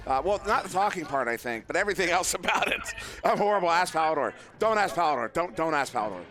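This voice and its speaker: Male esports caster, hoarse from a long event, self-deprecating, bright tone. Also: fairly high pitch, lively intonation, fast. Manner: joking, self-deprecating, rambling with fillers. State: losing his voice. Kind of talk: live play-by-play commentary. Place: live event venue.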